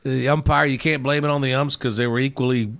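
A man co-hosts a sports show in a mid-pitched voice with a gravelly edge. He talks casually and folksily, wandering into asides. The recording is dry, with very little room sound. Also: fairly even intonation, fast talker, fairly bright tone, dry recording.

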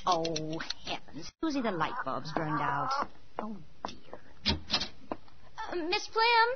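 An actress playing a pretty, anxious young stage star in dramatic, hesitant 1940s radio style. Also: female voice, lowish voice, wildly swooping pitch, takes it slowly, heavy background noise, exaggerated voice. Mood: nervous. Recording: dull 1940s radio recording, loud music.

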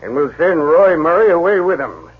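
A man playing a folksy, easygoing frontier sheriff in a 1950s radio drama, with a raspy, drawling voice on a tinny old radio recording. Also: a little high, expressive, moderate pace.

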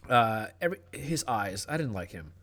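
A man's voice: mid-range voice, very animated, normal speed, a bit bright. A man in his thirties in a casual chat, rambling and swearing freely. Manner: profane, rambling.

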